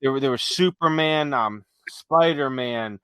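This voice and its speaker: A man co-hosting a casual podcast chat, halting as he searches for his words. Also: medium pitch, a bit bright, clear, resonant tone, no room sound. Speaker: podcast co-host.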